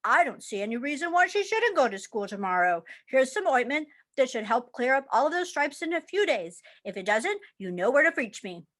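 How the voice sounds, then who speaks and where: Lady doing a fussy puzzled man doctor from a kids book, bright voice, swoopy delivery. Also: sits high, big pitch swings, brisk, few pauses. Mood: reassuring. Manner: exclaiming, rattling off questions, mumbling. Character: baffled, fussy male family doctor in a storybook.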